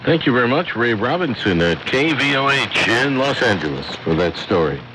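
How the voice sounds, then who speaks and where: A man's voice: medium pitch, sing-song, moderate pace. A man hosting a radio program, reading a scripted report over a shortwave broadcast. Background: radio static and noise.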